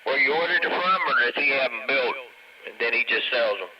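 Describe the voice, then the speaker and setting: A man's voice: mid-range voice, a little fast, a crowd in the background. A man chatting casually as a CB radio operator, heard through a muffled, noisy radio transmission. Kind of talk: casual two-way radio chat.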